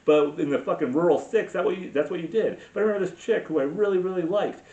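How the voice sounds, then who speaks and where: A man's voice: sits high, fast, mellow. A man in his forties rambling alone in a garage, profane and self-mocking, in a solo monologue. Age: 40s. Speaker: podcast host. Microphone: camera mic. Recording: roomy, dull lo-fi sound.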